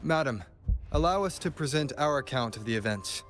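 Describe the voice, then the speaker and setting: An actor playing a formal man calmly giving his account, measured and polite. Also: medium pitch, soft background music, natural voice. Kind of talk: game cutscene dialogue.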